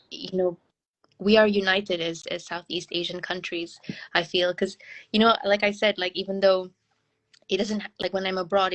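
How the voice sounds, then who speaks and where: A woman from Brunei talking as an interview guest in a casual, reflective way, haltingly thinking aloud. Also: lowish voice, a bit bright.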